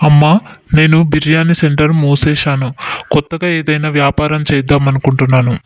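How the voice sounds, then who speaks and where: Man playing an earnest young husband, higher-pitched. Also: little pitch movement, fairly quick, dry recording, muffled recording, natural voice. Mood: pleading. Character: eager young husband, restless would-be businessman. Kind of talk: acted moral-story dialogue.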